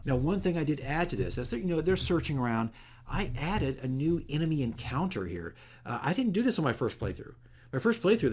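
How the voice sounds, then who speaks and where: A man's voice: mid-range voice, darkish tone. Rambling man recapping a tabletop game. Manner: rambling, self-correcting, explanatory. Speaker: dungeon master, video diary host. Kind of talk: casual unscripted game session recap.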